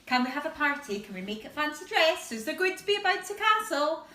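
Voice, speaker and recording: Woman playing an excited high-pitched child. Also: brisk, heavily acted. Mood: excited, pleading. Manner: excited, emphatic, sing-song. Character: eager, agreeable young child in a picture book. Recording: roomy echo, distant microphone.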